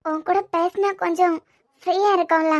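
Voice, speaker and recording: A woman's voice: very high voice, steady tone, normal speed. A woman playing a tearful young woman in love, pleading with the man she loves. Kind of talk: romantic audio drama acting.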